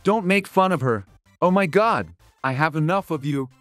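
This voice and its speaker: A woman's voice: deep voice, lively intonation, moderate pace, fairly bright tone, no echo, soft background music. A woman playing a protective, peacemaking sixteen-year-old boy in a dramatised online story. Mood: firm, protective.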